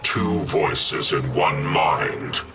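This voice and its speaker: An actor playing a grave, imperious superpowered being in a video game, speaking formally and with solemn menace. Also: male voice, very deep voice, drawn-out words, exaggerated voice. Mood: menacing. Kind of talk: video game character dialogue. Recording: game audio under loud music.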